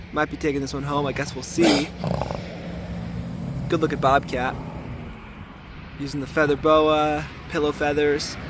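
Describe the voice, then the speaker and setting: A man with a somewhat higher voice, raspy today, speaks in an easygoing, thoughtful way to a handheld camera out in the open. He fills his pauses with ums and restarts. Faint outdoor ambience sits behind him. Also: noisy background. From southern Arizona. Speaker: trapper filming his trapline. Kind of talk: casual outdoor vlog narration. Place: outdoors in desert washes.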